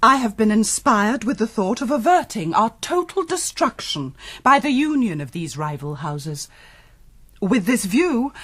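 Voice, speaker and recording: A woman's voice: a little high, a bit bright, husky, exaggerated voice. A woman playing a pious, submissive noble mother in a Gothic radio drama, formal and earnest in delivery.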